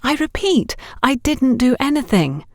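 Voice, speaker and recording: A woman's voice: high-pitched, big pitch swings, unhurried, no echo, studio quality, voice pushed up, exaggerated voice. A woman voicing a defensive, argumentative young woman accused of theft in an audio story. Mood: hostile.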